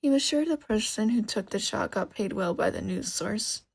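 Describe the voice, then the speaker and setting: A woman reading a story aloud as expressive scripted narration. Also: medium pitch, normal speed, gravelly, breathy edge. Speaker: narrator, podfic reader.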